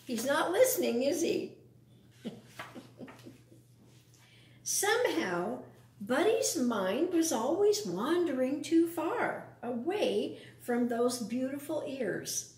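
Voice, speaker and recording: A woman's voice: high-pitched, sing-song, takes it slowly, long pauses, a little mellow, echoey room, slight background noise. A woman reading a picture book aloud to young children, adding casual explanations and questions. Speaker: storytime reader.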